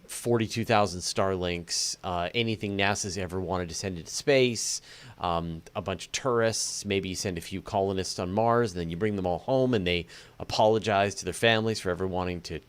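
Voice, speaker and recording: A man's voice: low-pitched, normal speed, clean tone. A man hosting a casual interview, musing aloud in a jokey way and often rephrasing mid-thought. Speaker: interview host.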